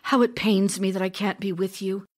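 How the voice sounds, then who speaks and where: Casting: woman as restless, effusive stage-actress wife for radio play, medium pitch, theatrical and teasing. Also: fairly even intonation, moderate pace, natural voice. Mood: tender, guilty. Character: vivacious, self-dramatizing actress wife, devoted yet restless. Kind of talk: audio drama acting, reading love letters.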